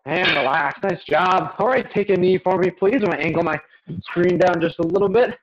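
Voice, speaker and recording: High-pitched young male workout coach. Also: fairly quick. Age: young adult. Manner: encouraging, short repeated cues; physically active while talking. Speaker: fitness coach. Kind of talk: instructional workout coaching with counted cues. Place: small indoor home gym. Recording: dull lo-fi webcam audio.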